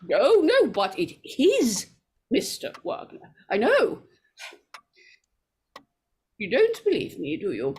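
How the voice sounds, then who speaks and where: A woman's voice: a little high, huge pitch swings, slow pace, long pauses, darkish tone, slightly rough, heavily acted. A woman playing a nervous, superstitious, insistent lady in an old-time radio horror play, stammering and repeating herself. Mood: urgent, foreboding.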